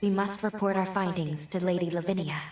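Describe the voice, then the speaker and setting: Actress as earnest young companion, roomy sound. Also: female voice, fairly low pitch, fairly even intonation, darkish tone, distant mic, soft background music, lightly acted. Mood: troubled, resolute. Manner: measured, formal, sincere. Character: earnest, dutiful young female companion, polite and questioning. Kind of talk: video game cutscene acting.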